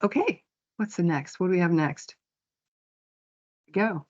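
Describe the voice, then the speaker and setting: A woman's voice: fairly low pitch, animated, unhurried, dull audio. A woman program director giving a practical, slide-by-slide financial report at a board meeting. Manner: plain, practical, walks through figures slide by slide.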